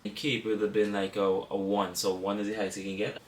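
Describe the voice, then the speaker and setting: Young Trinidadian man, lowish voice, casual and rambling, recorded off-mic in an echoey room. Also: fast, recorded from a distance, slight background noise. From Trinidad and Tobago. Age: young adult. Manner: relaxed, rambling, self-correcting. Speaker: guest student. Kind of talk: casual chat.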